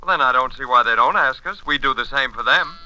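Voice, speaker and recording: A man's voice: mid-range voice, bright, forceful tone. A man playing a worried, insistent middle-aged husband in a 1940s radio comedy. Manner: brisk, insistent. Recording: dull, dry 1940s radio recording.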